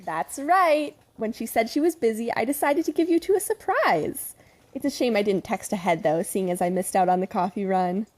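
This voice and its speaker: A woman's voice: a little high, normal speed, darkish tone, close to an everyday voice. A woman playing a prim, doting middle-aged mother in an audio drama, polite and sweetly probing.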